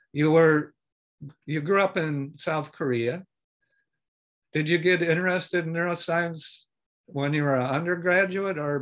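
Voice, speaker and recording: A man in his sixties hosting a podcast, asking interview questions over a video call from a home study, halting with "uh"s and restarts. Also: medium pitch, fairly bright tone. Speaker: podcast host.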